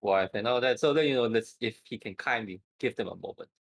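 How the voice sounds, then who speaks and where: A man with a husky voice playing a tabletop roleplaying game, casually discussing the group's plans. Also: fairly low pitch, fairly quick, no room sound.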